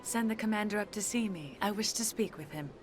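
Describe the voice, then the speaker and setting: A woman's voice: medium pitch, natural voice. Woman as a poised consort, music behind. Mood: composed, commanding. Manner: composed, formal, deliberate. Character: poised, influential alien consort, courteous and discreet. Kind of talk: video game voice acting.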